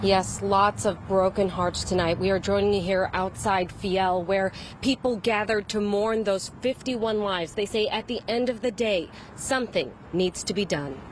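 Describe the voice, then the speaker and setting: A woman TV news reporter giving a solemn, measured field report. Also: mid-range voice, noisy background.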